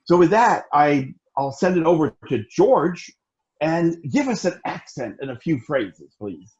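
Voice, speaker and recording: A man leading a group warm-up on a video call, coaxing others to join in. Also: a little high, big pitch swings, unhurried. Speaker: meeting activity leader. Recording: dull lo-fi video call audio.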